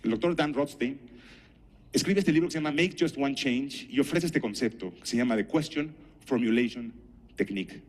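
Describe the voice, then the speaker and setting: A man's voice: mid-range voice, moderate pace. Middle-aged Mexican man giving a talk. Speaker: conference speaker and health professional. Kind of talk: conference talk with anecdotes and rhetorical questions.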